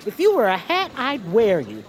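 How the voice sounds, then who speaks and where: A woman's voice: mid-range voice, huge pitch swings, normal speed. A woman voicing a nosy, genteel animal neighbour in family audio fiction, effusive and quaintly polite. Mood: cheerful, curious.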